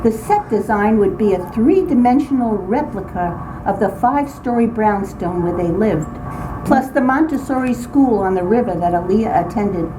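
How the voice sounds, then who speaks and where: An older woman reads her own humorous personal story aloud in an expressive, dramatic way, with emphatic phrasing. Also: medium pitch, moderate pace. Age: older, grandmother. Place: indoors, writing group meeting room. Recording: very noisy small-group room recording. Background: clear background noise and room ambience.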